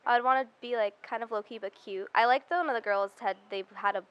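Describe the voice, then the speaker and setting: A nineteen-year-old woman answers a campus interview in an easygoing, chatty way. She speaks quickly. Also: a little high, smooth, muffled recording. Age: teens. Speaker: student interviewee.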